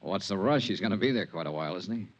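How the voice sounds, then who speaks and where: An actor playing a worldly insurance investigator in a 1950s radio drama, clipped and matter-of-fact. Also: male voice, deep voice, expressive, fast talker, dull audio. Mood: businesslike, reluctant. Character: brisk, worldly male insurance investigator, coolly sympathetic. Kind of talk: 1950s radio drama dialogue and scripted narration. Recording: vintage 1950s radio recording.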